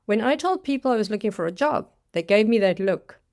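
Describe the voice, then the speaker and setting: A woman's voice: mid-range voice, expressive, fairly quick, a little mellow. A woman in her sixties with a South African accent, telling her own career story in a scripted, dramatic monologue as a career coach. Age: 60s. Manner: dramatic storytelling with acted-out quotes.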